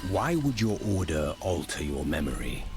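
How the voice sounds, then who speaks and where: An actor playing a grave, commanding dark fantasy hero in a video game, gravelly and formal. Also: male voice, rumbling low, big pitch swings, moderate pace, music in the background. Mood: stern questioning. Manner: stern, formal. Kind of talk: video game character dialogue.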